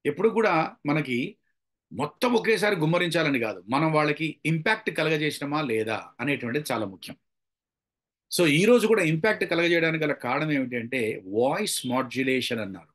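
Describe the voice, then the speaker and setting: A man's voice: a little high, lively intonation, fairly quick, a bit bright. A middle-aged man with a Telugu accent giving an informal public speaking lecture, conversational, asking rhetorical questions and dropping in English phrases. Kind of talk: informal lecture with acted examples.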